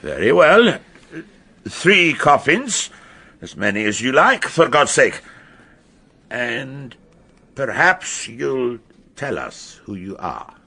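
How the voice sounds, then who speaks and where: An actor playing an imperious, pompous professor in a radio drama, with a British accent and a declamatory delivery that relishes the macabre. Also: male voice, medium pitch, big pitch swings, slow pace, long pauses, bright, forceful tone, husky, exaggerated voice. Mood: defiant. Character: eminent male professor, pompous, imperious, theatrical lecturer.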